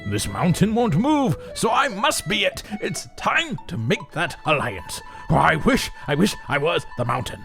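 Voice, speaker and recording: A man's voice: on the high side, sing-song, measured pace, creaky, few pauses, over music, pitched above the performer's own voice, heavily acted. A man playing a simple, jealous stonecutter in a folk tale, theatrical and emphatic as he craves more. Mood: boastful. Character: simple, jealous, ever-grasping male stonecutter wishing for power.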